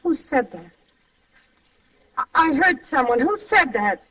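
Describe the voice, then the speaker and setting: An actress playing a guilty, evasive widow in an old radio mystery, halting and anxious. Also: female voice, high-pitched, sing-song, a bit bright, lo-fi sound, exaggerated voice. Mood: startled, anxious. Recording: distant, noisy, band-limited vintage radio recording.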